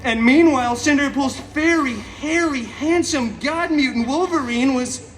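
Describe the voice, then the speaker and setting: A man's voice: extremely high pitch, measured pace, fairly bright tone. A man playing a chaotic, cheeky, vain masked antihero who tells a story theatrically to a live audience with sassy, mock-sweet asides. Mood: theatrical, gossipy. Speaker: theme park stage show performer. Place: theme park stage, recorded from the audience. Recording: amplified live show, distant, crowd noise.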